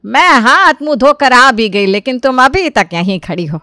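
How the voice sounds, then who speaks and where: A woman's voice: high-pitched, very animated, moderate pace, bright, forceful tone, no room sound, studio quality, exaggerated voice. A woman voicing a bossy, sarcastic older mother-in-law who scolds and nags in a dramatised story.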